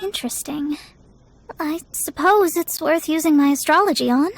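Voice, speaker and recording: A woman's voice: high voice, moderate pace. A woman playing a proud, melodramatic young astrologer with haughty, formal phrasing, in an anime-style video game. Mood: haughty, focused. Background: music.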